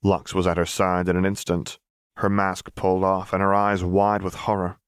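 A man's voice: low voice, flat delivery, normal speed, smooth, no echo, clean studio sound. A man reading scripted fiction as an audiobook narrator.

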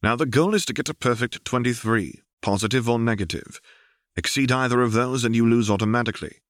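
Man acting a patient mentor, raised pitch. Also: fairly quick, no room sound, clean studio sound, lightly acted. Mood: patient, teasing. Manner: instructive, pointed. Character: male master, patient affectionate mentor to a boy.

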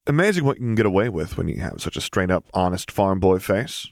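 A man's voice: low voice, expressive, fast talker, creaky, darkish tone, no echo, clean studio sound. A man voicing a mischievous Jedi master with a breezy, teasing, mock-innocent farm-boy manner in an audiobook story.

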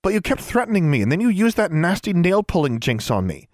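A man's voice: sits high, very animated, fairly quick, no echo, studio quality, pitched above the performer's own voice. A man voicing a prickly, defensive schoolgirl in an audiobook story, snappish and accusing.

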